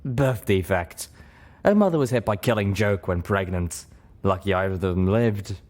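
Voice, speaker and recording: A man's voice: low-pitched, darkish tone, close to an everyday voice. A man voicing a boozy, caring female pony with slurred speech in an audiobook story. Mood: somber. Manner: slow, slurred.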